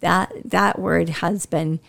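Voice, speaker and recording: A woman's voice: on the low side, steady tone, slow, creaky, fairly bright tone. Chatty older woman, self-laughing. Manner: chatty, upbeat, laughs at herself. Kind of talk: casual chat.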